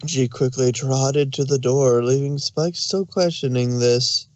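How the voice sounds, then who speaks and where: A man's voice: mid-range voice, steady tone, unhurried, a little mellow, dry recording. A man reading scripted story narration as the narrator.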